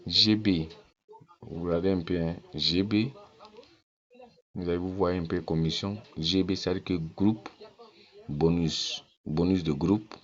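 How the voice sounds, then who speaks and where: A very low-voiced man talks viewers through his account in a casual, persuasive tone. He hammers his points home by repeating them. The recording is dull and lo-fi. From Ghana. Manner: repeats phrases insistently, persuasive. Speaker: network-marketing promoter. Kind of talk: casual screen walkthrough.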